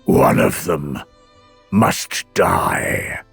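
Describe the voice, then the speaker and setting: Man voicing a stern, slow, implacable god. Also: rumbling low, creaky, a bit bright, breathy edge, no echo, faint music behind, voice pushed down, full character voice. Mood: cold, final. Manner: grave, commanding, deliberate. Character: stern, implacable male god passing judgment.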